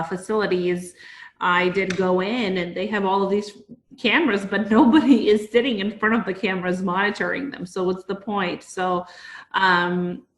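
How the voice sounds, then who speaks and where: A woman with a fairly low voice talks through points in a casual, explanatory panel discussion. She checks in conversationally as she goes, and the room adds a clear echo. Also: a bit bright. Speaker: consultant discussing a standard. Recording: roomy, echoing sound.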